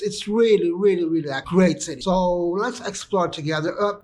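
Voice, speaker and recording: A young man talking casually to camera as a travel vlogger, rambling with many restarts and fillers, recorded on location outdoors. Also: high voice. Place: outdoors at a bay and a city landmark.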